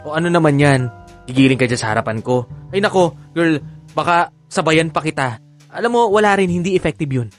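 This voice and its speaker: High-voiced, bashful effeminate Filipino man played by an actor. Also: animated, brisk, close to an everyday voice. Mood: sassy doubtful. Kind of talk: Filipino radio drama acting. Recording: music playing under voice.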